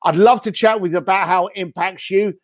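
A man's voice: sits high, fairly even intonation, brisk, no room sound, studio quality. A man speaking as a guest on a podcast interview, giving blunt, punchy advice. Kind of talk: interview chat.

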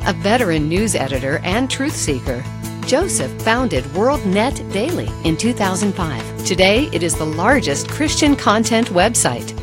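A woman's voice: mid-range voice, sing-song, normal speed. An American woman reading a scripted broadcast profile as a narrator. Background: music.

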